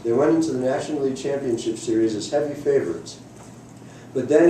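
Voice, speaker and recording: A man's voice: medium pitch, dark tone. A man reading a prepared essay aloud to an audience. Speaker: reader at a library book reading. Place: indoor room at a public library event. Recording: echoey room, distant mic, faint noise.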